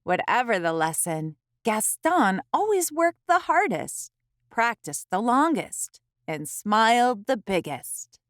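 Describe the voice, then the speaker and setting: A woman host reading a picture book aloud to young children, expressively, with careful phrasing and dramatic pauses. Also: a little high, big pitch swings, fairly bright tone, no room sound, clean studio sound.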